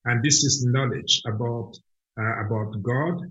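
A man's voice: mid-range voice, a little slow, a bit bright. A man from Cameroon, a mission organization director, earnestly answering questions in an online interview with frequent restarts. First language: a Cameroonian language. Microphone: video call. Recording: dull lo-fi online call.

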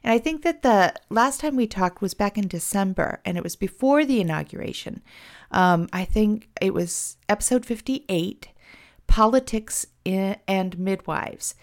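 A middle-aged woman hosting a podcast, chatting animatedly and a little self-deprecatingly, her voice hoarse from a cold. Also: mid-range voice, lively intonation, measured pace. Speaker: podcast host. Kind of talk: casual podcast chat.

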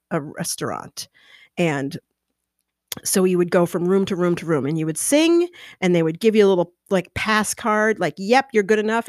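Animated woman telling a story, dry close mic. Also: no room sound. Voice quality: mezzo-alto singer's lower voice. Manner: animated, gestures with her hands, acts out voices in her anecdotes. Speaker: podcast host telling a personal story. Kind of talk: casual storytelling. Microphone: podcast microphone, close.

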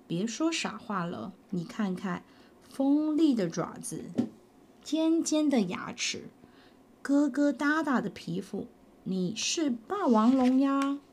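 A woman voicing a big male tyrannosaurus in a storybook, speaking low, slow and insistent. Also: animated, darkish tone, a little hiss or hum, heavily acted. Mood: mocking.

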